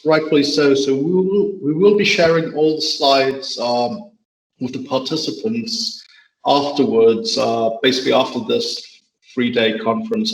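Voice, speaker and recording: A man hosting a business forum, thanking and introducing speakers without a script. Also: mid-range voice. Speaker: moderator, forum host. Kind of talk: unscripted moderating and introductions. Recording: echoey, distant room microphone.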